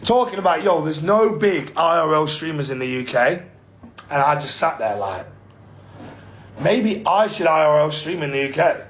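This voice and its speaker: A man's voice: fairly high pitch, expressive, normal speed, a bit bright. A male streamer talking in a brash way and swearing freely.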